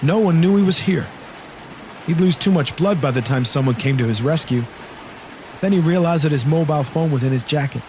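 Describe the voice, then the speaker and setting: A man's voice: a little high, a little fast, a little mellow, slight background noise. A man narrating a scripted horror audio drama in a dramatic storytelling style. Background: storm rain sound effects and music.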